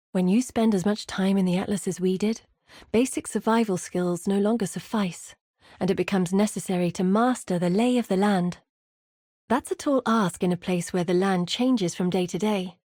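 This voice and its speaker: Video game role: actress as earnest woman expedition leader, weary and grave, articulate delivery, mid-range pitch, close dry recording. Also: darkish tone, dry recording, natural voice. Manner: measured, grave, articulate storytelling. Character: weary, earnest woman explorer leading exiled warriors. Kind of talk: scripted video game voice acting.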